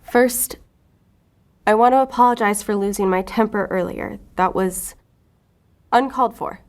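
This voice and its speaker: A woman's voice: mid-range voice, unhurried, close to an everyday voice. An actress playing an earnest, determined female agent in an audio drama, pleading and pressing. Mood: earnest probing. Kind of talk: audio fiction drama acting.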